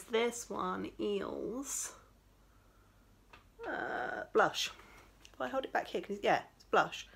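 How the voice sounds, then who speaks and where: A woman's voice: lowish voice, vocal fry, a bit bright, slightly rough. A woman, tired and chatty, showing off yarn from a small craft room at home. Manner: chatty, rambling, with many fillers. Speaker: crochet vlogger. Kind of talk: casual chat, showing yarn.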